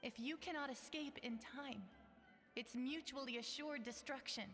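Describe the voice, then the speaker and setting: A woman voicing a calm, wise memory spirit in a video game, measured and composed. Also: sits high, faint music behind.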